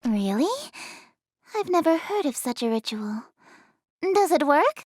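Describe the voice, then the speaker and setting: A woman's voice: high voice, very animated, a little slow, a little mellow, no echo, studio quality. A woman voicing a haughty, easily flustered eldritch goddess in an anime-style game, speaking in a theatrical, formal, imperious way. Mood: regal, composed. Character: haughty, easily flustered regal eldritch goddess, cute young-sounding female. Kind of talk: anime-style visual novel game voice acting.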